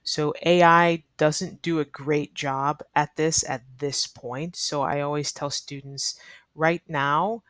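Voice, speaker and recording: A woman's voice: deep voice, a bit bright, no echo. A woman teaching an online English lesson in a neutral American accent, explaining earnestly to learners and repeating key advice for emphasis. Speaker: ESL teacher.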